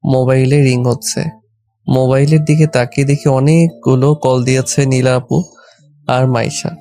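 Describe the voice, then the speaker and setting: A man's voice: medium pitch, steady tone, normal speed, warm tone. A man reading a scripted first-person story as its narrator and lead character. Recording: background music under the voice. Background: music.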